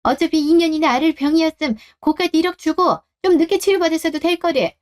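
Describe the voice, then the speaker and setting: A woman's voice: very high-pitched, fast. A woman voicing a domineering older mother-in-law in a radio drama, speaking in a scolding, accusing way. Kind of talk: Korean radio drama acting.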